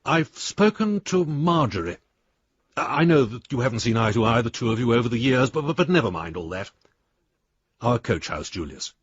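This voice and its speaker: An actor playing a long-suffering lawyer, reading letters to a friend in a dry, measured, mildly exasperated manner in a radio drama. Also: male voice, mid-range voice, big pitch swings, slightly rough, no room sound, muffled recording. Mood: reproachful, caring.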